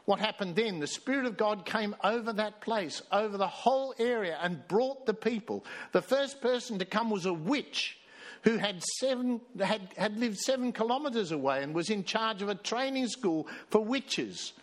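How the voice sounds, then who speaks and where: A man with a high-pitched voice delivers a storytelling sermon. He reads quoted passages aloud with emphasis and speaks as the church's minister. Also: expressive, normal speed. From Australia. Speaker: pastor.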